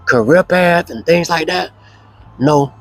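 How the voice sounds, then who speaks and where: A man with a hoarse voice, casually telling his life story as an interview guest, slow and relaxed with many fillers. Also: fairly high pitch.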